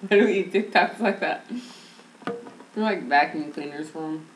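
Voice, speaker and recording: A woman's voice: low voice, normal speed. A young woman in casual banter, teasing and blunt, filmed on a webcam in a small bare room. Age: young adult. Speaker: co-host. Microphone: laptop webcam across the table. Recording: echoey room with faint hiss.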